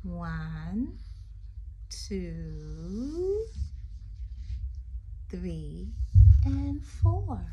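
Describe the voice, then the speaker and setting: A middle-aged woman reading a poem aloud to young children like a teacher, expressive and sing-song, with chatty asides. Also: on the low side, extremely slow, long pauses, a little hiss or hum, poor audio. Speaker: teacher reading to her class. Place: small room indoors. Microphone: phone at arm's length.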